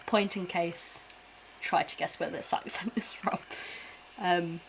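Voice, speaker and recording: A woman's voice: fairly low pitch, fairly even intonation, takes it slowly, gravelly, a bit bright, a little hiss or hum, rough recording. A young British woman chatting casually while reacting to videos on a stream, laughing often and swearing offhand. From Britain.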